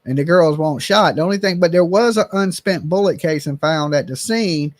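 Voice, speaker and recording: Blunt, opinionated high-voiced man. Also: fairly quick, no echo. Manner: blunt, opinionated, swears casually. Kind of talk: casual chat.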